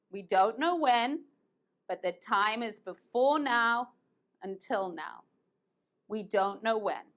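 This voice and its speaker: Female ESL teacher, slightly high voice, slow and patient, half American half British accent. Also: fairly bright tone. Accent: mix of American and British English. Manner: slow, repeats words patiently, laughs lightly. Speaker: English teacher. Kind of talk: online grammar lesson.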